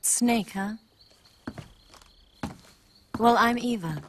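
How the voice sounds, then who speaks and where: Slow-talking, teasing woman spy. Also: fairly high pitch, fairly bright tone, a little hiss or hum. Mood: teasing, confident. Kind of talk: dramatic video game cutscene acting.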